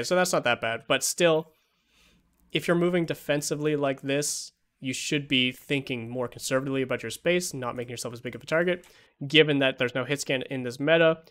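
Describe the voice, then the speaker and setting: A man's voice: mid-range voice, brisk, fairly bright tone. A young man reviewing an esports match as a coach, thinking aloud with many fillers, his voice tired after hours of streaming, on a home streaming mic. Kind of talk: casual match review commentary.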